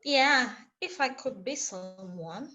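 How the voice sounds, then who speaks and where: A woman's voice: a little high, expressive, slow pace, pushed and bright, dull audio. A woman taking part in a casual reading group discussion.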